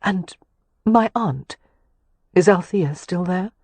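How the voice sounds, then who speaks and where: A woman voicing an earnest young gentlewoman who speaks gently and pleadingly, at times tartly, in a breathy voice. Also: fairly low pitch, slow, no echo, exaggerated voice.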